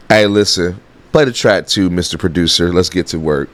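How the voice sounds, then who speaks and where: A man hosting a football reaction video in casual, blunt talk that is peppered with swearing. His voice is nasal and congested from a sinus infection, and his throat is sore. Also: low voice. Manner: blunt, excitable, swears freely. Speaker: football reaction host and coach.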